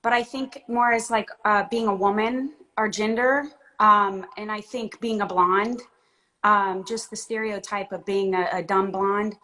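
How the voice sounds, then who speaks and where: A woman from Florida answering interview questions in a measured, hesitant way with many pauses. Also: mid-range voice, steady tone, bright, forceful tone, poor audio. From Florida, United States. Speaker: guest.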